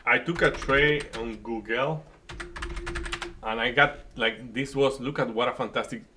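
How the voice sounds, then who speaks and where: A man from the Dominican Republic with a Dominican Spanish accent, a native Spanish speaker hosting a live trading stream with casual, joking chat and halting, restarting market commentary. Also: medium pitch, background noise. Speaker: day trading streamer host.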